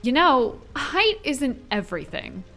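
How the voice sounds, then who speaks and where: Casting: actress as young adult heroine for audio drama, mid-to-high voice with lively range, brave, caring and earnest. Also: female voice, measured pace, faint music behind, exaggerated voice. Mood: teasing. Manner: earnest, expressive.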